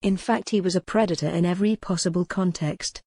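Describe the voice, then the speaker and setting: A woman's voice: fairly low pitch, fairly even intonation, brisk, a little mellow, slightly rough, no echo, studio quality. A woman reading scripted, dramatic narration from a historical romance novel as an audiobook narrator.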